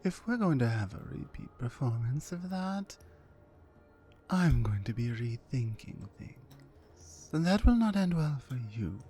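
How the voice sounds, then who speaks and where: Woman playing a menacing dark-voiced orc woman, slow ASMR. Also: a bass voice, very animated, husky, exaggerated voice. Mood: scornful, threatening. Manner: menacing, commanding, slow and deliberate. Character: towering dominant goth orc woman, mean captor. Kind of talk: ASMR roleplay.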